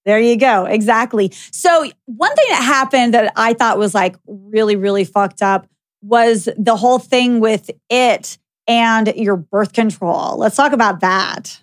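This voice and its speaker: A woman's voice: a little high, unhurried. A young woman hosting a casual chat, blunt and filling her talk with "like", swearing freely. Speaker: podcast host.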